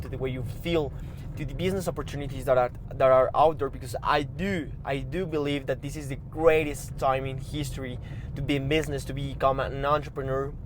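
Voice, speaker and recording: A man from Mexico with a Mexican Spanish accent, a non-native English speaker hosting a motivational podcast, earnest and urging, often repeating and restarting words. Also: medium pitch. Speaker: podcast host. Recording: background street noise with passing cars.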